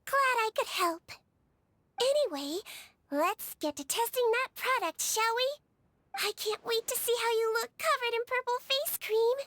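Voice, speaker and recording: A woman voicing a small, sweet young female melusine nurse in a video game, with a childlike voice and a gentle, earnest, reassuring manner. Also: very high-pitched, measured pace, full character voice. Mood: bright, eager. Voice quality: childlike, girlish. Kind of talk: video game character voice lines. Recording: studio voice over game music.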